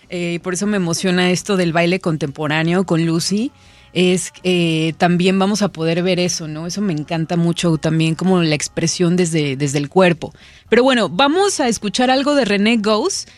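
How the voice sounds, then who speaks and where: A woman's voice: on the low side, moderate pace. A woman hosting a casual radio interview, chatty and full of tag questions. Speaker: radio host.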